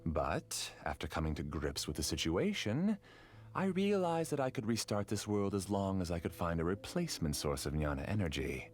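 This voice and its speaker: Calm obsessed scholar villain. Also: male voice, deep voice, normal speed. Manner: calm, self-justifying. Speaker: game character. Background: game music.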